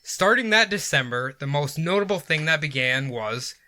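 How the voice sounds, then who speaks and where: Man host, bright lively voice, laughs into a spooky story. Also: fairly high pitch, moderate pace. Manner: laughs often, reads notes with jokey asides. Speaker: podcast host. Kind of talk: casual paranormal storytelling.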